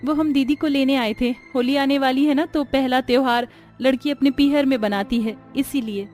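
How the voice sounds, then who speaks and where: Woman voicing a gentle, forgiving young cartoon sister-in-law. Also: sits high, steady tone, moderate pace, faint music behind, lightly acted. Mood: polite. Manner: gentle, reassuring.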